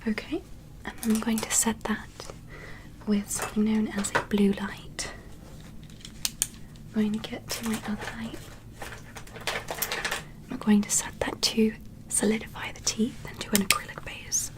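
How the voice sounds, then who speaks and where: A woman voicing a gentle, curious alien medical examiner in an ASMR roleplay, whispering softly and giving careful, soothing instructions. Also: mid-range voice, little pitch movement, a little slow, pauses often, natural voice. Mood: calm, curious. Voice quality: soft breathy whisper.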